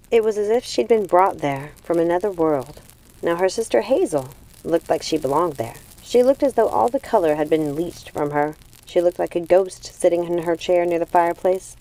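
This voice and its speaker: A woman's voice: lowish voice, warm tone, poor audio. A woman reading a novel aloud as scripted storybook narration. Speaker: audiobook reader.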